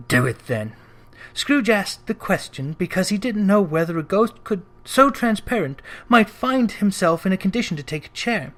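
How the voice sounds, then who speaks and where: A narrator reading a classic tale aloud as dramatic storybook narration. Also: medium pitch. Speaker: narrator, reader.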